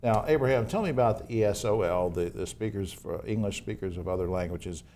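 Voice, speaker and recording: A man's voice: on the low side, a little fast, warm tone, slight background noise. A man in his sixties hosting a conversational TV interview in a folksy, enthusiastic manner. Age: 60s. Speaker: TV talk show host.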